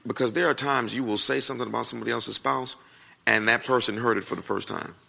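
An American man preaching and answering questions in a naturally loud voice, with a folksy, emphatic way of talking that repeats phrases for effect. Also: mid-range voice, steady tone, fast, creaky. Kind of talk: conversational sermon with question and answer.

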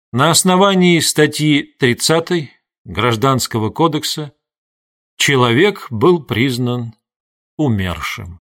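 A man's voice: medium pitch, a bit bright. Male audiobook narrator, middle register, scripted reading of literary prose.

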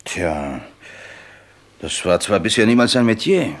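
An actor playing an obliging police inspector in a German radio crime drama, speaking in a dry, precise, matter-of-fact way. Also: male voice, fairly low pitch, very animated, measured pace. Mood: dry, considering.

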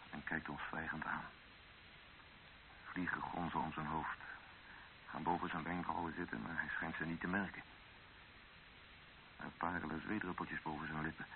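An anxious male journalist telling his story in a radio drama. His voice is very low, and his delivery is measured and literary. The sound is narrow and dull, with a light hiss. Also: vocal fry. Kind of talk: radio drama narration and dialogue.

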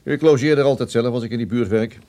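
A man's voice: mid-range voice, quick pace, dark tone, natural voice. An actor playing a chatty, helpful travelling businessman in a radio play, folksy and emphatic in his way of talking. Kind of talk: radio drama dialogue.

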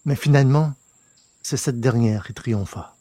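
A man with a slightly husky voice reading scripted fiction as an audiobook narrator. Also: medium pitch, measured pace, mellow, dry recording.

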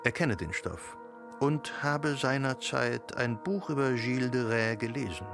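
A male narrator delivers literary radio-drama prose with deliberate, measured phrasing. His mid-pitched voice has a marked gravelly creak, and music plays clearly behind him.

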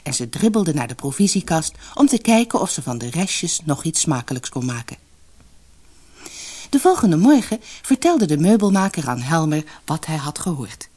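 A fairy-tale narrator with a husky voice reads from a script for an audiobook. The pitch moves in a lively way, and key words get expressive, dramatic weight. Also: moderate pace.

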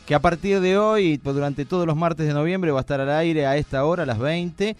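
A man's voice: fairly high pitch, brisk, dry recording. A man with an Argentine accent hosting a live radio show, with a playful, joking way of talking. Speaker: radio host.

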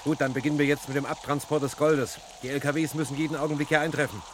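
A commanding superior, played by a male actor, with a fairly high voice. He fires off businesslike orders quickly over faint noise, in the manner of a radio thriller. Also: flat delivery, close to an everyday voice. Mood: urgent, commanding. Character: brisk male superior organising an emergency. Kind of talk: radio play acting.

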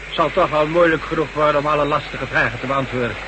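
A man's voice: a little high, fast talker, natural voice. A man acting a blunt, authoritative official in a Dutch radio drama, commanding and dry as he briefs others. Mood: businesslike, grave. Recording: noisy old radio drama recording with hum.

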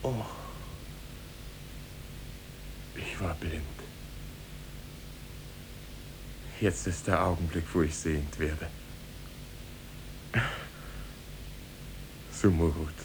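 Low voiced young merchant, humble n yearning, solemn old radio drama, slight rumble. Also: male voice, fairly even intonation, moderate pace. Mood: awed. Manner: deferential, solemn, fervent. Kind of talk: 1950s German radio play acting. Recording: 1950s radio recording with faint rumble.

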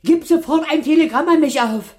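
Woman acting an insistent daughter, high voice, impatient and urgent, radio drama delivery. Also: moderate pace, lightly acted. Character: hurried, insistent grown daughter on the phone.

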